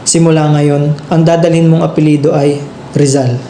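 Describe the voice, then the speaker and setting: A man's voice: fairly high pitch, little pitch movement, normal speed, music in the background, lightly acted. A man playing a protective, earnest young elder brother in an amateur Filipino radio drama. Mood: reassuring, earnest. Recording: roomy sound with faint noise.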